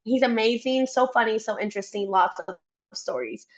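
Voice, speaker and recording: A woman's voice: on the high side, moderate pace, lo-fi sound. Chatty young woman. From Costa Rica. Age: young adult. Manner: chatty and upbeat. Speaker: guest, startup support worker. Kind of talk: interview conversation.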